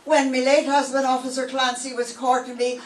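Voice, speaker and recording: Older lady doing a gossipy landlady in an old radio comedy, kinda high voice, echoey room far from the mic. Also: level tone, fairly quick, close to an everyday voice. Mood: chatty. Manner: gossipy, motherly, calls others dearie. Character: chatty widowed landlady Mrs. O'Reilly, vain about her age. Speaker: class performer reading a radio script. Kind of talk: 1940s radio sitcom acting. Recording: roomy classroom from far off, faint hiss.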